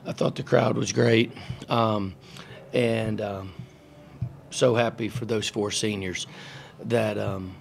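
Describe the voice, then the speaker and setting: A middle-aged coach speaks into a table mic at a postgame press conference, his low voice gravelly with fry. He talks in a halting, matter-of-fact way, looping through fillers and restarts, and a faint hum of room noise sits behind him. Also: fairly even intonation. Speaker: basketball coach. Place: press room in front of a sponsor backdrop. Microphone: table mic on a stand.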